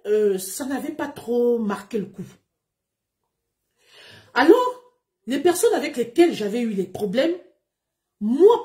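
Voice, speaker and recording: A woman's voice: a little high, dark tone. A woman, speaking to her followers in an emphatic, declamatory livestream monologue. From Gabon. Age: 30s. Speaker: live streamer.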